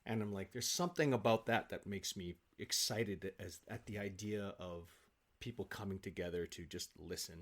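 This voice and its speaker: A man speaking as an interview guest in casual, enthusiastic conversation, telling stories in an animated way. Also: low-pitched, normal speed.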